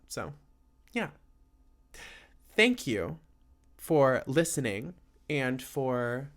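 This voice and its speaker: A man hosting a casual solo podcast, laid-back and rambling, with plenty of fillers and tangents. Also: a little high. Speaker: podcast host.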